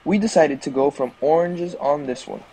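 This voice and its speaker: A young man narrating step-by-step food preparation instructions in a measured, explanatory way. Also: fairly high pitch, mellow, a little hiss or hum, rough recording. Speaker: fishing show host.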